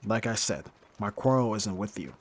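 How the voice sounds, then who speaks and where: A man playing a bitter, hardened young thief in an ASMR roleplay, speaking with mockingly courteous, formal phrasing and pointed pauses. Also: on the low side, lively intonation, slight background noise, lightly acted. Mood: controlled, sardonic. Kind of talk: scripted ASMR roleplay acting.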